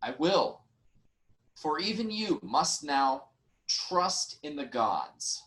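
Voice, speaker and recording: A man playing a composed, authoritative royal in Greek tragedy, speaking formally and deliberately. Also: on the high side, big pitch swings, a bit bright, heavily acted. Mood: measured, cautious. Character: composed, authoritative male royal, measured and pragmatic. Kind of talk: theatrical Greek tragedy reading.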